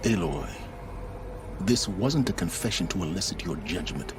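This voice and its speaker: Proud exiled scholar, low voice, measured and formal, cool, calculating, game drama, music underneath. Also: male voice, animated. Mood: grave, aloof. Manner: measured, formal, precise, self-assured. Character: aloof, calculating middle-aged male scholar in exile, proud and unrepentant. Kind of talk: video game drama acting.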